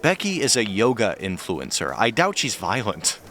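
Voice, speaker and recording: A man's voice: fairly low pitch, huge pitch swings, normal speed, bright, forceful tone, exaggerated voice. A man playing a skeptical, candid friend of a detective, emphatic and sardonic, in a naturalistic audio drama. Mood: matter-of-fact.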